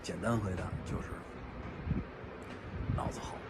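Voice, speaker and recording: A man's voice: sits low, steady tone, measured pace. A man talking to the camera in a social media video in a dry, self-assured way. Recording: video clip with loud background noise.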